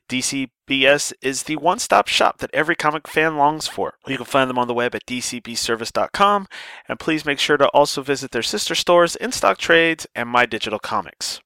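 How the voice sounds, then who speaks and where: A man's voice: fairly high pitch, no echo. A man hosting a podcast, talking casually with a stuffy voice after a sinus infection, joking and poking fun at himself. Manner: lively, joking and self-mocking. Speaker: podcast host.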